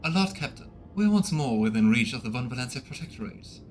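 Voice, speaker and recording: A man voicing a formal, declamatory High Factotum official reporting to a captain in a game. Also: lively intonation, fast, soft background music, natural voice. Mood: formal, deferential. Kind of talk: game dialogue read aloud in character.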